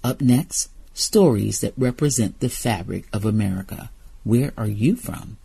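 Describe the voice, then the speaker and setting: A woman hosting a radio program, reading scripted narration in a measured, deliberate way. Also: rumbling low, expressive, gravelly, dark tone, breathy edge. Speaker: radio host.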